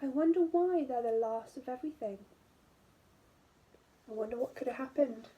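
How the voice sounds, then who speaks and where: A woman teacher reading a picture book aloud to young children in an animated way, drawing out words and dropping into hushed asides. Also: sits high, slight background noise, rough recording. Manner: animated, drawn-out storytelling with hushed asides and questions to listeners. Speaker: primary school teacher.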